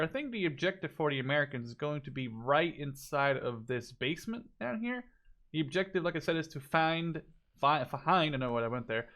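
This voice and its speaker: A man's voice: on the high side, fairly bright tone. A man giving casual, unscripted video game commentary as a spectator, thinking aloud in an informal way.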